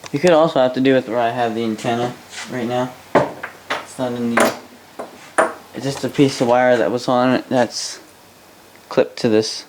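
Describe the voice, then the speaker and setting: A man's voice: mid-range voice, steady tone, moderate pace, pauses often, poor audio. A man giving casual hands-on commentary while tinkering, muttering to himself and trailing off. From Canada. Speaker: hobbyist radio repairer. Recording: home workshop noise, radio playing nearby.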